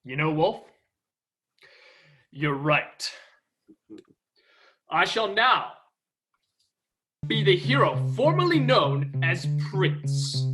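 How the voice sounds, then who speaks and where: A man's voice: high-pitched, sing-song, extremely slow, long pauses, fairly bright tone, faint music behind, heavily acted. A man playing a vain, self-loving superhero prince in a stage play, with a pompous, theatrical manner. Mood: grandiose.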